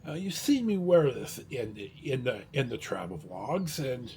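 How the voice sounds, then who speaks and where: A man talking casually in a rambling, halting vlog style, blunt and a little salty, with a husky, rough-edged voice. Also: medium pitch, very animated, a little mellow, slight background noise. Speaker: vlogger.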